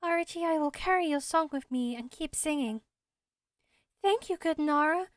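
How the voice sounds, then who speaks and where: A woman plays a bold little forest spirit from a fantasy game. The voice is high and the delivery florid and theatrical, as if every line were poetry. It is recorded very dry. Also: moderate pace, dry recording, lightly acted. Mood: grateful. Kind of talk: amateur video game voice acting.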